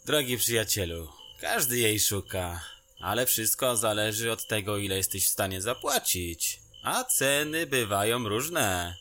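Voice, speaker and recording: A man playing a sly, fearful underworld fixer in a fantasy audio drama, evasive and drawn-out in his speech. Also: fairly low pitch, animated, pushed and bright, slight background noise. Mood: sly, mocking.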